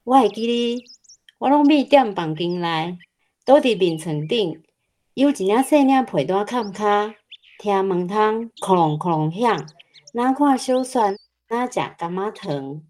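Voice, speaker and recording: A woman's voice: medium pitch, lively intonation, measured pace, darkish tone, lightly acted. A woman with a Taiwanese accent playing a fond mother telling childhood memories in a children's radio drama. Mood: nostalgic. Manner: chuckling storyteller. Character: fond nostalgic mother recalling her childhood.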